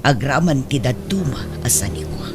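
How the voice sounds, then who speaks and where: A woman's voice: rumbling low, expressive, normal speed, music in the background. A woman playing a stern, wealthy middle-aged mother in an audio drama, firm and reproachful, with a Northern Philippine accent. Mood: resolute, calm. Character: stern, wealthy ailing adoptive mother putting her affairs in order. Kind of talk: emotional audio drama acting. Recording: clear background noise.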